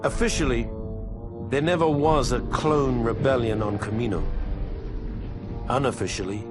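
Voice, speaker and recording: An actor playing a weathered veteran clone trooper, narrating his war memoirs for a video game in a measured, grave, wry soldierly manner. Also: mid-range voice, close to an everyday voice. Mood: wry, dry. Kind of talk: scripted in-character journal narration for a game. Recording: music behind the voice.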